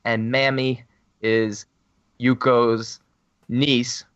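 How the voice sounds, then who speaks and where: Podcast co-host, male, bright lively voice, dry close sound, quick to laugh. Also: medium pitch, clear, resonant tone, dry recording, muffled recording. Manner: laughs often, lively banter. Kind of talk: casual chat.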